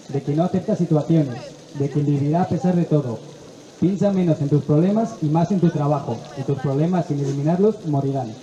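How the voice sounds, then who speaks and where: A man's voice: on the high side, warm tone. A man from Ukraine, reciting a poem earnestly and steadily at a recital. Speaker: adult student reciting poetry. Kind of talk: poetry recital. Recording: echoey hall, dull sound.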